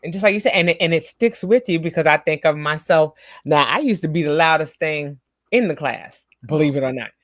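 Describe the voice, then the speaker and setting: A soft-spoken woman co-hosting a spiritual live show, talking earnestly in casual chat and often restarting her phrases. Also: low-pitched, animated, fairly quick, no room sound, clean studio sound. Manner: earnest, many small restarts.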